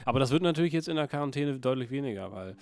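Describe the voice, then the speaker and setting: A man's voice: mid-range voice, expressive, racing, creaky. A man chatting casually and ironically, often drifting into mock-serious rants. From Berlin. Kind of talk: joking casual chat.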